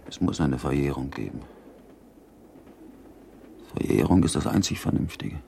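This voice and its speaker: A middle-aged Prussian baron in a radio drama, brooding and bound by duty. His voice is very deep and dark with a creaky edge, and he weighs each phrase deliberately. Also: expressive, a little hiss or hum, exaggerated voice. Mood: brooding, reasoning. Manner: measured, reflective, deliberate. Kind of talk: German radio play acting, interior monologue.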